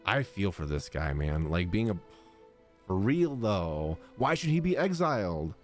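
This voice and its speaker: A man's voice: sits low, sing-song, unhurried. A man in his thirties giving casual, slangy live reactions as a game streamer, close on a desk microphone. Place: small indoor gaming room. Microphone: close desk microphone, headset on. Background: game music.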